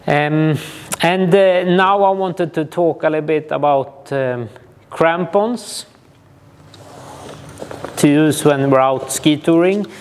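Slow, halting man explaining gear. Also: on the high side, slight background noise. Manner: hesitant, halting delivery with frequent pauses. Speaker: ski touring guide and instructor. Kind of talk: informal gear explainer to camera. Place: small indoor gear shop room.

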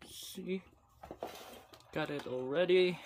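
Slow-talking man narrating a rod repair. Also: high voice, a little hiss or hum. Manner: unhurried, self-talk with pauses, addresses viewers as guys. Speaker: fishing video host. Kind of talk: casual how-to narration while working. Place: indoors at a wooden table.

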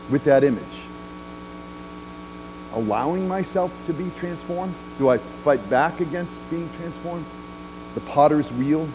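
A man's voice: mid-range voice, low-quality recording. A middle-aged man, a pastor, preaching a sermon in a large church sanctuary, walking and gesturing as he talks in a conversational way, often asking "right?". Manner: walks the chancel gesturing while speaking.

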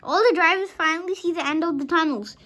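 High, bright-voiced young child reading aloud. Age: young child, about five. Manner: halting, stumbles over and rereads words. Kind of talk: dramatic storybook read-aloud.

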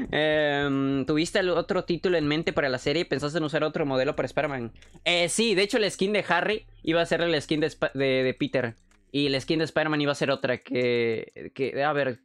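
A young man from Peru with a Mexican Spanish accent, speaking in a slightly high voice. He chats on a casual live stream, excitable and joking, heavy on slang and swearing. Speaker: streamer and animator.

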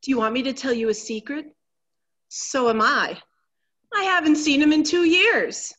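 A woman's voice: high-pitched, animated, moderate pace, a bit bright, muffled recording. A young woman playing a knowing married lady awaiting her husband, prim and confiding, in a stage comedy style. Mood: confiding, superior. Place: indoors at home, video call.

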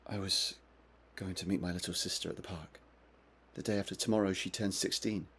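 A man's voice: deep voice, steady tone, brisk, fairly bright tone, breathy edge, full character voice. An actor playing a distressed, confused man in his twenties in a video game, agitated and defensive. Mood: subdued, explaining. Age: 20s. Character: distressed, confused young man suspected by police. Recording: noisy with background ambience.

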